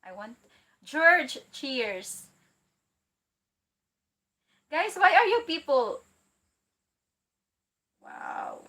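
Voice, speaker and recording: Filipina woman chatting on a livestream, fairly high voice, excitable, laughs a lot. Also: very slow, long pauses. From Philippines. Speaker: livestream host. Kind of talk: casual livestream chat reading viewer comments.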